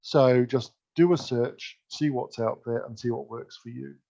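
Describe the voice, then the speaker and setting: A man's voice: mid-range voice, fairly even intonation, a little slow, gravelly, mellow. A man giving an instructive, emphatic conference keynote presentation. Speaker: keynote speaker. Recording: dull lo-fi sound.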